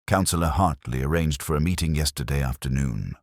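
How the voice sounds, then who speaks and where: A man's voice: extremely low pitch, a little fast, darkish tone, clean studio sound. A man voicing a formal, obedient town hall clerk in a mystery story, matter-of-fact in delivery. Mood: dutiful, puzzled.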